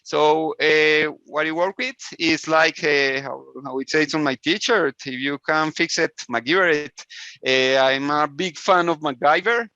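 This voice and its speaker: A man from Colombia speaking English with a Spanish accent, a guest inventor on a video call interview, halting with many restarts and frequent laughs. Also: on the high side, sharp tone, no echo. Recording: dull lo-fi call audio.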